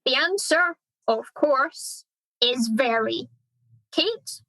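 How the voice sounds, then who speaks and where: A woman's voice: high voice, animated, extremely slow, sharp tone, dry recording, heavily acted, rough recording. A woman playing an eager, self-assured history presenter in a scripted radio comedy. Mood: smugly assured.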